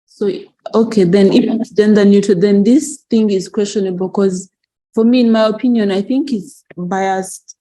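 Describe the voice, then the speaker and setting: A woman's voice: medium pitch, fairly even intonation, normal speed, dark tone, low-quality recording. A woman student asking questions in class, tentative and searching for words.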